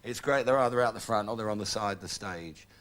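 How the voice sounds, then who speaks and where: A man's voice: lowish voice, fast, fairly bright tone. A man with an English accent, a rock musician guest chatting easily and telling self-mocking stories. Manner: easygoing, self-mocking anecdotes. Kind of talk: casual TV interview chat.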